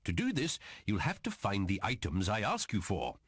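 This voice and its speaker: A man playing a court magician who guides a quest as an expressive storyteller in a video game, on noisy old audio. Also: lowish voice, moderate pace, slightly rough, dry recording, studio quality, full character voice. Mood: storytelling. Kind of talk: video game cutscene narration.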